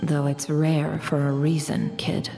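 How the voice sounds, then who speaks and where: Game casting: scheming lady spymaster, lowish register, smooth and theatrically courteous, faint music underneath. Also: completely flat delivery, mellow. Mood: sly, confiding. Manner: smooth, sly, measured, theatrically polite. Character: suave, scheming woman who runs an intelligence business. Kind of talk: video game cutscene acting. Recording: background music behind voice.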